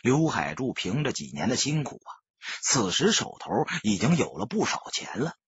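Husky, very animated male storyteller. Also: medium pitch, normal speed, no room sound, dull audio. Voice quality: husky, raspy. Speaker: audiobook narrator. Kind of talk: dramatic scripted novel narration.

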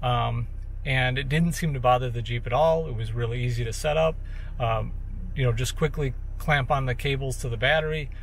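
A man in his thirties giving a casual hands-on gear demo, calm and matter-of-fact, with many uhs and restarts. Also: mid-range voice, normal speed, vocal fry, a little hiss or hum. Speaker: vlogger testing gear. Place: outdoors by a lake, later inside a vehicle.

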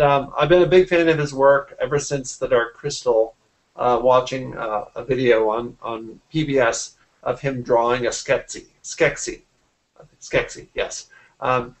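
Man chatting casually, halting and rephrasing. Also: mid-range voice, low-quality recording. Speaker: interview guest, artist and art teacher. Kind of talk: casual interview conversation. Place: small indoor room at home. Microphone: computer mic on a video call.

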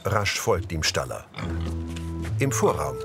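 A man's voice: deep voice, big pitch swings, slow, vocal fry, over loud music. A man reading scripted audio description narration for a television series.

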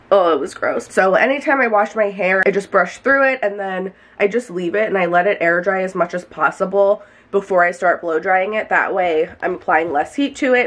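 A young woman, a hairstylist, chatting casually and rambling to a handheld camera in small home rooms and a car, with lots of "like" fillers. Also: fairly low pitch. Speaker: hairstylist and vlogger. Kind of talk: casual vlog chat to camera. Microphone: handheld camera at arm's length.

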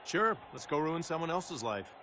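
An actor plays a cocky, flippant fighter in a video game, talking casually and with sarcasm. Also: male voice, fairly high pitch, very animated, moderate pace, bright, forceful tone, a little hiss or hum. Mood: sarcastic. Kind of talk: video game cutscene acting.

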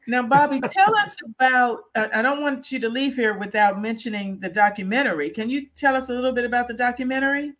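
A woman's voice: on the high side, fairly quick, hardly any pauses. A middle-aged woman hosting an interview over a video call from home, speaking in an encouraging, supportive way. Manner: warm, encouraging. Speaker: interview host. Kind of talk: casual interview. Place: home room indoors.

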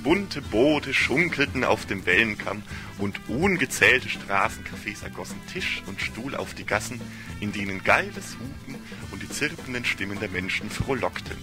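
A man's voice: fairly low pitch, very animated, moderate pace, over music. A man narrating a literary audio story in a solemn, ornate fairy-tale storytelling manner.

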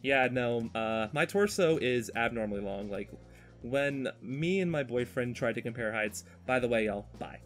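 A man's voice: medium pitch, expressive, moderate pace, soft background music. A man chatting casually and talkatively on a podcast while he draws, with a joking, exasperated manner. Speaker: podcast host.

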